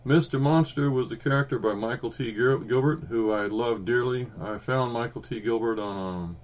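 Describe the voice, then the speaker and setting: A man's voice: medium pitch, steady tone, normal speed, rough recording. A man in his fifties with an Oklahoma accent, chatting casually as a podcast co-host, rambling with ums and restarts. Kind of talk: casual chat about comics.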